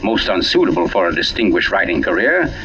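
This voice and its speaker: An actor playing an urbane, pompous gentleman writer turned sleuth in a 1940s radio mystery, teasing and deliberate in his delivery. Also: sits low, sing-song. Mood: suave, amused. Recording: dull lo-fi old radio broadcast.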